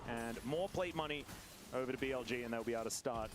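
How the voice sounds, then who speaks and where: Esports caster guy, quick high voice, arena crowd roaring. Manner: excited, rapid play-calling. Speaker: play-by-play caster. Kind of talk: live esports commentary.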